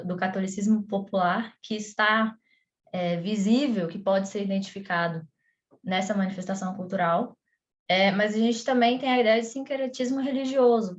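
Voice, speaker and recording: A woman's voice: mid-range voice, highs cut off. Brazilian woman teaching with many fillers. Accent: Brazilian Portuguese. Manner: conversational, frequent fillers and restarts. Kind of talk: explanatory online lesson.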